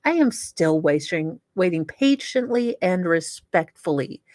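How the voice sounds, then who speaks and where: A woman's voice: lowish voice, lively intonation, a little slow, darkish tone, no echo, clean studio sound. A woman hosting a comedy show, mixing theatrical, sarcastic dramatic reading with casual commentary. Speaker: show host.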